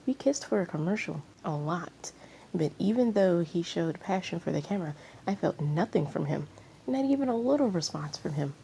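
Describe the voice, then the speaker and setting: A woman's voice: lowish voice, lively intonation, normal speed, creaky, mellow, slight background noise, rough recording. A woman acting a smug, spiteful flirty actress. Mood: smug, insistent.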